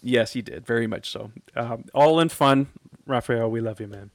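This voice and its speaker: A man's voice: medium pitch, normal speed, no room sound. Man, 30s, podcast host; casual and chatty, dry close sound. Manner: chatty, laughs often, frequent fillers. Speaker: podcast host reading listener questions.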